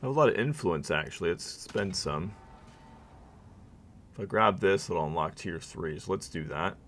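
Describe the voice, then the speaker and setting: A man's voice: sits low, expressive, moderate pace, a bit bright, slight background noise. A man streaming a strategy game live, thinking aloud and reading game text to his viewers, his voice weak and worn out. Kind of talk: live gameplay commentary.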